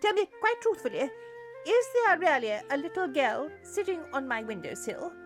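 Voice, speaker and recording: A woman's voice: high-pitched, very animated, normal speed, music in the background. A woman playing an imperious, easily exasperated Queen in a dramatized audiobook. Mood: bewildered. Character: regal, composed, exasperated Queen waking from a dream.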